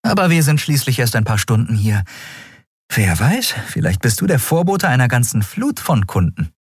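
Man voicing a brisk, higher-pitched woman captain. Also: big pitch swings, breathy edge, no echo, studio quality. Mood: friendly. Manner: brisk, informal, caring. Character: brisk, kindly female airship captain.

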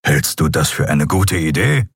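Man as giant feline warrior, gruff, growling, terse, menacing, husky, fantasy audiobook. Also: animated, no echo, studio quality, exaggerated voice. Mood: gruff, disapproving. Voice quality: growling, rumbling. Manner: slow, terse, threatening.

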